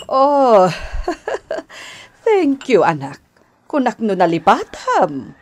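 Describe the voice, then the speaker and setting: A woman's voice: on the high side, wildly swooping pitch, extremely slow, exaggerated voice. An actress playing an affectionate, nagging middle-aged mother in a radio drama, with a Filipino accent. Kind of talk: Ilocano radio drama acting.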